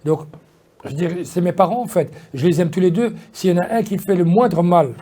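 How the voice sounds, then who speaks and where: A man's voice: high voice, expressive, brisk, mellow. A man, talking casually in an interview, rambling with many fillers and restarts. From Belgium. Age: 50s. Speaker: politician guest.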